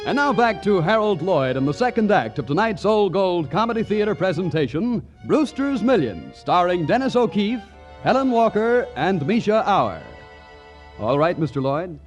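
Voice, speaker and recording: A man's voice: high voice, sing-song, normal speed, faint music behind. A man announcing a 1940s radio advertisement in a folksy, scripted way that addresses listeners directly.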